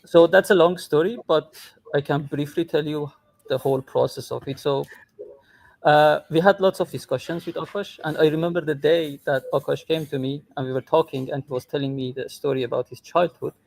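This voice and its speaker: Gravelly-voiced man from Iran, close dry sound. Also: fairly high pitch, steady tone, a little fast, a little mellow, dry recording, rough recording. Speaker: tech co-founder and CTO, guest. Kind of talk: conversational self-introduction on a livestream. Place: airport, remote call.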